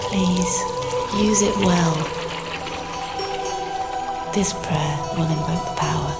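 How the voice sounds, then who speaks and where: A woman's voice: fairly low pitch, slow. An actress playing a saintly holy woman who guides a knight with prayers, speaking solemnly and earnestly in a dramatic video game cutscene. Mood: grave, tender. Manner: solemn, earnest, reverent, formal. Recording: echoing game audio over music. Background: game music.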